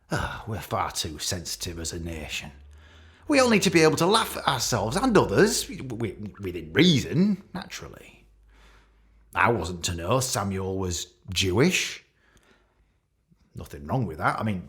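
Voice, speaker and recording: An actor playing a pompous, self-pitying middle-aged British senior police officer making a halting, passive-aggressive speech in a radio play. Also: male voice, mid-range voice, fairly bright tone.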